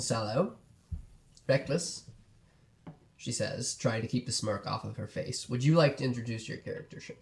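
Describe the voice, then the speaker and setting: Young male game master, animated delivery, mid-pitched, easy laughter. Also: creaky, a little hiss or hum. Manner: lively, laughing storyteller. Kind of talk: narrating a tabletop game.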